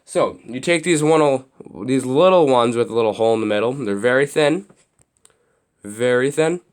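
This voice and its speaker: Young male hobby host, a bit raspy, rambling how-to walkthrough. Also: mid-range voice, normal speed. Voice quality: slightly raspy. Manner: loose, rambling explanations with many restarts and fillers. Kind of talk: casual how-to demonstration.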